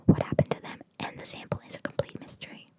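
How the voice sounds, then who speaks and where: A woman whispering in a breathy voice, reading trivia for ASMR and adding chatty, informal asides. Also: quick pace. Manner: informal, chatty, reacting to what is read. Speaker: ASMR host reading video game trivia.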